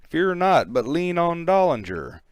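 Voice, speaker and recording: Storybook pilot, man reader putting him on: brave, declamatory, reassuring, slow and measured, with a clean dry sound. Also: fairly high pitch, big pitch swings, dry recording, voice pushed up. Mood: steadfast, reassuring.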